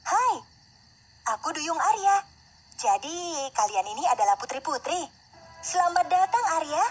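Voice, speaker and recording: A young fairy-tale princess, voiced by a woman narrator, friendly and eager. Her voice sits very high and exclaims sweetly, with music behind it in a children's storybook style. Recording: music under the voice.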